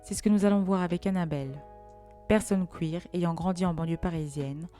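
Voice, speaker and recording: A woman hosting the show, reading a scripted introduction in a measured way. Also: lowish voice, fairly even intonation, mellow, faint music behind. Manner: measured, read-out delivery. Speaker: host. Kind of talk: scripted narration.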